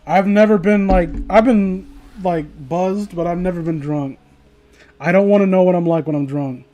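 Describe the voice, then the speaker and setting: A man with a notably high voice reads aloud to a livestream in a loose, informal way. He rambles, swears freely and often drops a sentence halfway to add mocking commentary. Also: moderate pace, warm tone, dull audio. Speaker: streamer reading stories aloud to viewers.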